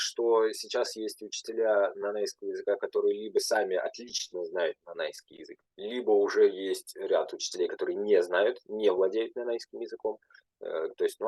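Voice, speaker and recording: A young man, a language teacher, speaking at a panel in a halting way with many filler sounds and restarts. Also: lowish voice, darkish tone, no echo, poor audio. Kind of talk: panel talk.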